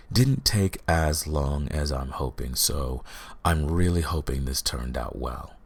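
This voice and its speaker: A man with a deep, gravelly voice talking casually to his listeners as the host of an ASMR channel.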